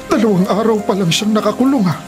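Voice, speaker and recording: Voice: medium pitch, fast, music in the background, natural voice. An actor playing a kindly, wary old forest elder who speaks with concern in a Filipino radio drama. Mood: alarmed. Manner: cautioning, concerned.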